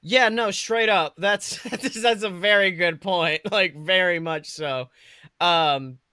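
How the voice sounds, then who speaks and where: A woman co-hosting a podcast, chatting casually about films in a blunt, profane, jokey and animated way. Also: on the low side, slow, no echo, clean studio sound. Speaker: podcast co-host. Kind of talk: casual film review chat.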